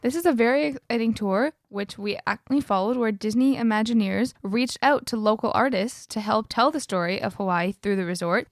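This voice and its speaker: A woman's voice: on the high side, no echo, clean studio sound. A woman co-hosting a podcast, presenting information partly read from notes in a conversational setting. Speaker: podcast co-host.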